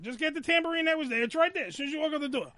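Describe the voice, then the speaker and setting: Brief: loud, crude male radio host, very high, bright, lively voice. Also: very fast. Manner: loud, profane, bossy. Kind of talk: loud crude studio banter. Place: radio studio.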